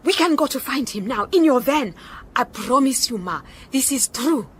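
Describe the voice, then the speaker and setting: Pleading, higher-voiced accused wife. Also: female voice, animated, normal speed. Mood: desperate, insistent. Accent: Southern African. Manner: insistent, repetitive pleading. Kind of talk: radio drama acting. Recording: noticeable background noise.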